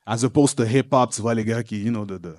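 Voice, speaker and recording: Easygoing host, bilingual. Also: male voice, medium pitch, brisk, a little mellow, dry recording, studio quality. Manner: easygoing, switches between French and English. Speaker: podcast host. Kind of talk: casual interview chat.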